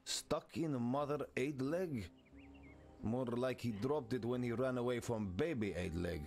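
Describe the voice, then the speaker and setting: A man's voice: mid-range voice, expressive, a little slow, a little mellow, faint music behind. A man voicing a scornful outlaw in a video game, talking in a terse, blunt, sardonic way. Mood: scornful, mocking. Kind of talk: scripted game dialogue.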